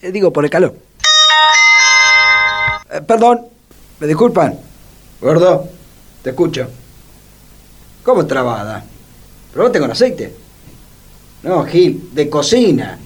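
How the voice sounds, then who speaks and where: Comic radio role: a man as an ingratiating Argentine family man, high-voiced and swooping, forever apologising while scrounging. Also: very animated, a little slow, pauses often, a little mellow, breathy edge, exaggerated voice. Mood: apologetic, casual. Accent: Argentine Rioplatense. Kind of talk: comedic radio theatre acting.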